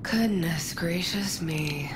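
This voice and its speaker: An actress playing a rocker's teasing, world-weary girlfriend in a video game, with a languid, sometimes breathy delivery. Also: lowish voice, slow pace, background noise. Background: loud guitar music.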